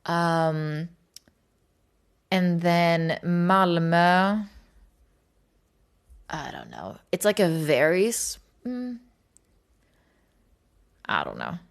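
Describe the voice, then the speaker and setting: A woman's voice: fairly low pitch, very animated, very slow, creaky, pauses often, clear, resonant tone. A woman from Sweden chatting casually and informally to livestream viewers, rambling with plenty of filler words and some swearing. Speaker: livestream host.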